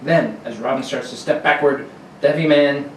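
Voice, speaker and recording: A man's voice: mid-range voice, moderate pace. A man narrating a radio serial in a theatrical 1940s announcer style. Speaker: radio drama narrator and actor. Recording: echoey noisy room, distant mic.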